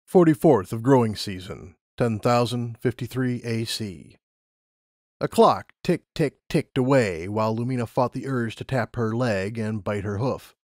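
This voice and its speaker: A man's voice: medium pitch, lively intonation, measured pace, darkish tone, no room sound, clean studio sound. A man reading a scripted story as an audiobook narrator.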